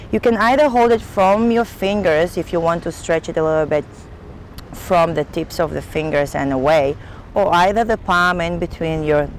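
Slow-paced woman instructor in outdoor wind noise. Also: on the low side. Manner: calm, instructional, with pauses between cues. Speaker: yoga instructor. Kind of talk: guided stretching instruction. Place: outdoors on a sailboat deck at sea. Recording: windy outdoor boat noise.

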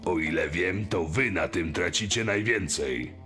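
A sharp, low-voiced mine boss played by a man from an audio drama. He is exasperated and mocking, pressing his complaints forcefully over faint music. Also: a little slow. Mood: defiant, exasperated.

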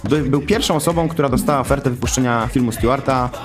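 Fast-talking man, emphatic and defensive. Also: medium pitch, music in the background. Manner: emphatic, defensive, swears often. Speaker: guest on a stream. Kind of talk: heated interview answers. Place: studio with wooden wall. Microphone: desk microphone.

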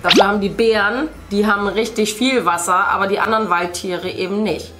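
A woman's voice: mid-range voice, fairly even intonation, fairly quick, background noise. A middle-aged woman hosting a children's craft video, casually explaining things to young viewers. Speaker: host of a children's craft channel. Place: indoor room, seated at a craft table.

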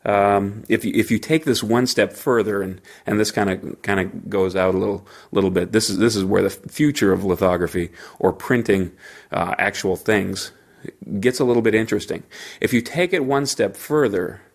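Podcast host, male, low gravelly voice, stuffy from a cold, rambling with lots of fillers, slight hotel-room echo. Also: moderate pace. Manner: rambling, many fillers and restarts. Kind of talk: solo podcast monologue.

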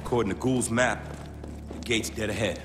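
A man's voice: on the low side, steady tone, normal speed, background noise, natural voice. A man playing a hardened, blunt special forces soldier in a video game, swearing freely. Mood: grimly resolved. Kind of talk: dramatic video game acting.

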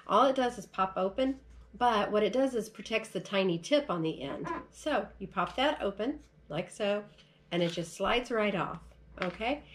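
Chatty middle-aged American woman, folksy. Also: mid-range voice. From United States. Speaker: vlogger. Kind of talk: casual product demonstration. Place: large furnished room at home, indoors.

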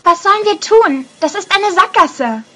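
A woman's voice: extremely high pitch, lively intonation, moderate pace, heavily acted. A woman playing an earnest, romantic young princess heroine in an audio drama, with theatrical delivery. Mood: worried. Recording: loud music behind the voice.

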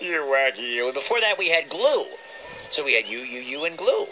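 A male radio host chats playfully for a children's show. His pitch moves around in a lively way, and the recording of the broadcast carries a slight layer of noise. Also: fairly quick. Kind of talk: playful kids' radio hosting. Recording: slightly noisy capture of radio playback.

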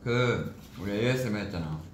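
A young man chatting on a livestream with bandmates, in a relaxed, low-key way. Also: quick pace, a little hiss or hum. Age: young adult. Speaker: idol group member on livestream. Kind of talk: casual livestream chat. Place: small indoor room at a table. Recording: roomy room echo.

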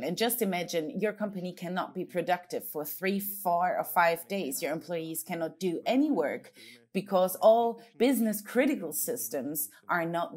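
A woman interpreting a conference talk into English, measured and steady, with frequent hesitations and self-corrections. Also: lowish voice.

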